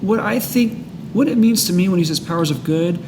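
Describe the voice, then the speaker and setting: A man in a casual discussion of a book, reading passages and reflecting in a halting way. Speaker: host of a recovery book study. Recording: clear background noise with low rumble.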